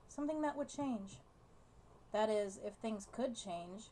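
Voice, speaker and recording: Higher-voiced woman reading a visual novel aloud. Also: a little mellow. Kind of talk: casual scripted narration read aloud. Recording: noticeable background noise.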